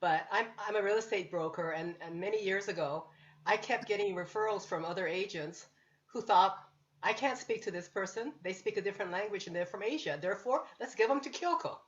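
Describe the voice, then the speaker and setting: A woman's voice: mid-range voice, brisk, dull audio. A woman working as a real estate broker, sharing a story animatedly on a community panel. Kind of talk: panel discussion over video call.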